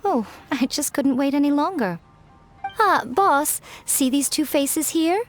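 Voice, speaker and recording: A sly, self-important lady of elegance in an anime game. The voice is fairly high and very melodic, teasing and smug with refined phrasing. Music plays softly underneath. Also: expressive. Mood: playful. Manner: playful, smug, drawn-out and refined.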